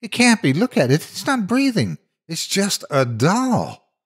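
A man's voice: sits high, sing-song, measured pace, breathy edge, voice pushed up, heavily acted. A man voicing an adventurous, stubborn young child who speaks with urgent insistence in a horror story. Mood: urgent reassurance.

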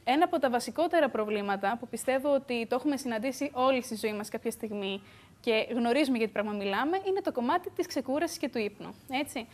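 A young woman, a beauty expert, explaining calmly in a TV studio on a lapel mic, with the odd "uh" filler. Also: a little high. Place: bright TV studio.

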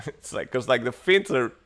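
A man's voice: mid-range voice, fairly bright tone. A man in his thirties from Italy, chatting casually and rambling with lots of fillers on a tech livestream, recorded in a home study on an earphone mic. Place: home study lined with bookshelves. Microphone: earphone headset mic on a webcam stream.

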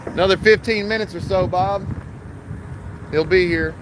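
A man's voice: high voice, fairly even intonation, normal speed. A man in his thirties, an HVAC technician, talking casually to his phone camera on a commercial rooftop and explaining the job step by step. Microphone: phone held at arm's length. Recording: outdoor phone audio with traffic noise.

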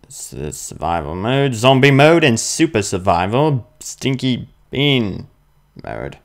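A young man playing a video game and commenting on it, muttering slowly and then yelping loudly in fights, with faint game music behind. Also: mid-range voice, very animated, vocal fry. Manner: slow, mumbling asides that jump to loud yelps in fights. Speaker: gaming video host. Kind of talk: let's play commentary. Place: indoor room with webcam.

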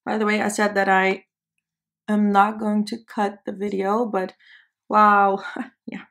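A young Dutch woman talks through her makeup routine in a loose, self-mocking way, her voice often slipping into a gravelly fry. She sits close to the camera in a small indoor studio. She is speaking English, which is not her first language. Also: mid-range voice, little pitch movement, unhurried, a bit bright. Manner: chatty, self-deprecating, talks while applying makeup. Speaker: beauty vlogger. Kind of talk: casual makeup tutorial chat.

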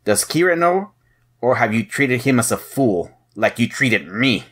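An actor playing a shrewd, suspicious head agent, probing and mocking, in an audio drama. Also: male voice, mid-range voice, very animated, unhurried, a bit bright. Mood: accusing. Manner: probing, sardonic.

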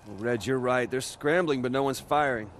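A man's voice: mid-range voice, normal speed, slight background noise, close to an everyday voice. An actor playing a cocky, wisecracking young American male superhero in a video game, with flippant, casual delivery. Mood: cocky, wry. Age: young adult.

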